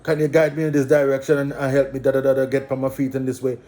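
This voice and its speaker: A man's voice: medium pitch, completely flat delivery, fast talker, dark tone. A man from Antigua with an Antiguan accent, talking his views in a casual, preachy monologue, repeating phrases for emphasis.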